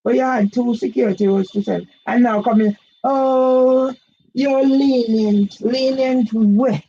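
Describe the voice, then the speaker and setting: An older woman with a Jamaican accent, delivering an agitated, repetitive monologue for her own channel that rises now and then into shouts. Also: a little high, steady tone, unhurried, a little hiss or hum. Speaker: vlogger. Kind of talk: impassioned monologue. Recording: dull lo-fi audio.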